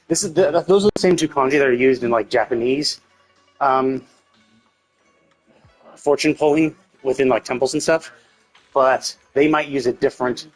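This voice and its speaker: A man's voice: mid-range voice, poor audio. A man streaming a game live with casual commentary, thinking aloud tentatively and correcting himself as he goes.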